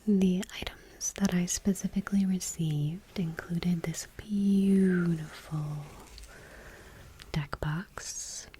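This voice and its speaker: A woman whispering gently and unhurriedly in a soft-spoken ASMR style, close to the microphone. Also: on the low side, steady tone, takes it slowly, husky. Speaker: ASMR creator and host.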